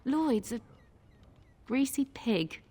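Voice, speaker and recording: An actress playing a weary, resigned tavern barmaid in a video game, with a wry manner. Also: female voice, on the high side, lively intonation, very slow, warm tone, exaggerated voice. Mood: resigned, bitter.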